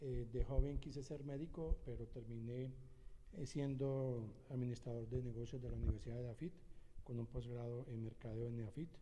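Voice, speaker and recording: A middle-aged Colombian man introducing himself as a social entrepreneur, halting with many filler sounds. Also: medium pitch, fairly even intonation, mellow, long reverb tail, slight background noise, low-quality recording. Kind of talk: short personal introduction on a panel.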